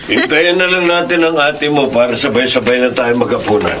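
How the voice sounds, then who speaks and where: A man's voice: mid-range voice, steady tone, brisk, fairly bright tone, a little hiss or hum, lightly acted. A man playing a proud, encouraging father in a radio drama, hearty and approving. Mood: proud, cheerful.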